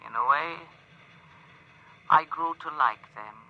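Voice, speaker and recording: A man playing a gentle alien crewman in an old radio play, measured and wistful. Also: a little high. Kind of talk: 1950s radio drama acting. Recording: dull old radio broadcast.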